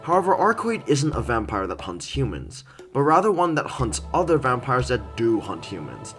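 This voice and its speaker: A male reviewer reads a scripted critique in a mid-pitched voice. His intonation swings in a lively way, with deliberate pauses and stresses for emphasis. Music plays softly behind him. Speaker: video reviewer, host. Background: background music.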